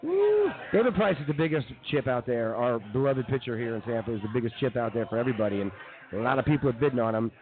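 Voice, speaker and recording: A man's voice: mid-range voice, sing-song, quick pace. An American man co-hosting sports radio, giving opinionated, colloquial baseball commentary. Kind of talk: casual sports chat. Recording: tinny band-limited live broadcast.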